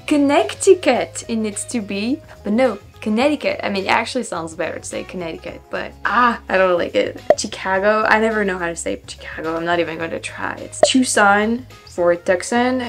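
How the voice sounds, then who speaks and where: A woman's voice: mid-range voice, expressive. Young french woman vlogging, french accent, repeats english words over and over trying to get them right, laughs a lot, bit of music behind. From France. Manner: thinks aloud, repeats words slowly to try pronunciations, laughs often. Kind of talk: casual reaction vlog.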